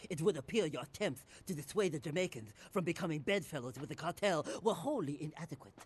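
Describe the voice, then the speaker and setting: An actor playing a proud, severe Yakuza crime boss in a video game, formal and commanding, quick to anger. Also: male voice, fairly high pitch, a bit bright, breathy edge. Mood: scornful, furious. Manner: formal, commanding, clipped orders. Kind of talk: dramatic video game cutscene acting.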